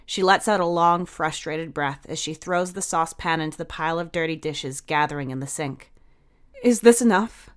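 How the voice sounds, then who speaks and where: A woman's voice: deep voice, fairly even intonation, fairly quick, hardly any pauses, fairly bright tone, smooth. A woman narrator reading a scripted fictional story.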